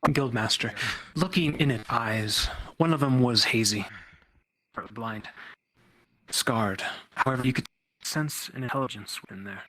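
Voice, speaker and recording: A man's voice: mid-range voice, measured pace, bright, forceful tone, dry recording, low-quality recording. A man playing a solemn guild hunter in a fantasy roleplay, speaking in a formal, portentous way. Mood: grave, ominous.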